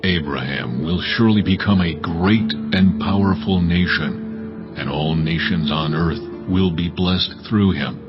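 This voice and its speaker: An actor playing God as a solemn, commanding divine judge who speaks deliberately in a dramatized scripture. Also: male voice, sits low, dull audio, loud music behind the voice.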